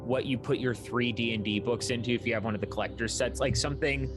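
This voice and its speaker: A man's voice: mid-range voice, steady tone, quick pace, over music. A man working as game master, narrating a tabletop game in an explanatory, descriptive way.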